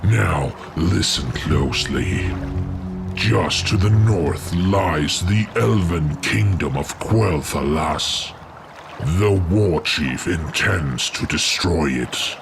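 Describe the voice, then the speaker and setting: Loud music sits under a slow, gravelly game villain. He is a scheming orc warlock, cruel and imperious. Every phrase comes out with sneering, deliberate menace. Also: low voice, lively intonation, full character voice. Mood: scheming, instructing.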